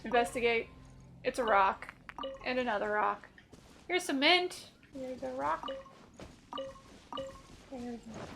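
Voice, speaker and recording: Female let's-play host: high voice, slow delivery, wry casual commentary, faint game music behind. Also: creaky, fairly bright tone, a little hiss or hum. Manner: joking, wry. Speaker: gaming streamer.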